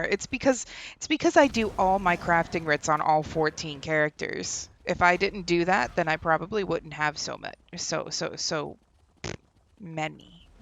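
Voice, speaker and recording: A young woman streaming a game from home, chatting casually and laughing, often trailing off mid-sentence, on a desk microphone. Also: fairly low pitch. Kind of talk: casual gameplay stream chat. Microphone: desk microphone with headphones.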